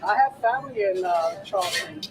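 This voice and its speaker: A man's voice: high-pitched, a little fast, crowd noise. A middle-aged man from Samoa, raised in Hawaii, chatting casually and laughing as he cooks at an outdoor event. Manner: relaxed, chatty, laughs easily. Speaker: chef. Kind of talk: casual live cooking demo. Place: outdoor boat show.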